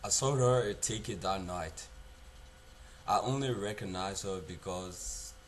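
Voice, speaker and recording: A man performs a haunted young man confessing his memories. The delivery is very slow, halting and subdued, in a fairly low voice with faint noise behind it. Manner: halting, subdued, repeats himself. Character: guilt-haunted young man recalling a dead acquaintance. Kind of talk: confessional dramatic monologue.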